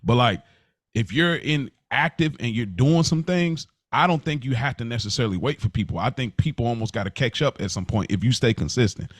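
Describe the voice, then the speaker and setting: Male podcast voice, mid pitch, informal and rambling, very dry recording. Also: lively intonation, brisk, no echo. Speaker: podcast co-host. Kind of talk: casual podcast conversation.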